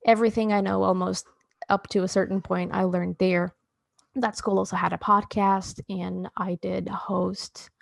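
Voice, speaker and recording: A woman in her thirties from Portugal, telling her own story in a relaxed, chatty way full of fillers and restarts. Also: medium pitch, normal speed, gravelly, dry recording, clean studio sound. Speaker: podcast host, interviewed as guest. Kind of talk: casual conversational storytelling.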